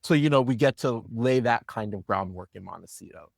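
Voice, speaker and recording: A man's voice: mid-range voice, expressive, fairly quick, vocal fry, no room sound, studio quality. A man giving analytical commentary as a panelist on a podcast about a drama. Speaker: podcast panelist from the show's team. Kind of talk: analytical discussion of a drama episode.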